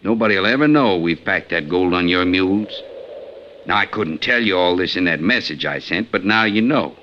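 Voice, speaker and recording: An actor playing a brash, boastful, ruthless gold robber in an old-time radio western, with a talkative, folksy, blunt and menacing way of speaking. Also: male voice, deep voice, expressive, normal speed. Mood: scheming, callous. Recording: lo-fi 1950s radio recording, faint noise.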